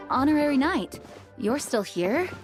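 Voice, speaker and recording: A woman's voice: high-pitched, sing-song, a little slow, soft background music. A woman voicing an earnest, slightly weary acting commander of knights in a fantasy video game. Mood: pensive, weary.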